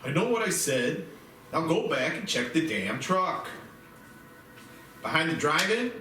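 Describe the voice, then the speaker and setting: A man's voice: a little high, very animated, unhurried, pushed and bright, exaggerated voice. Man playing a tense, echoey small-town cop. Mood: urgent, gruff. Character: tense male small-town police officer on radio. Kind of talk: amateur screenplay table read. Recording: echoey, distant mic, faint music behind.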